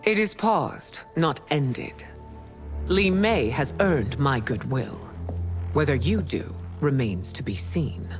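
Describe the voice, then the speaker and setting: A woman's voice: deep voice, expressive, slow. An actress playing a proud, stern empress and protective mother in a video game cutscene, with formal, imperious speech. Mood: stern, distrustful. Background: music.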